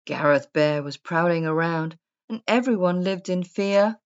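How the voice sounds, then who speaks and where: A woman narrating a rhyming children's story in a deliberate, sing-song storybook manner. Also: fairly low pitch, clean tone. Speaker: story reader. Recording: dull, muffled lo-fi sound.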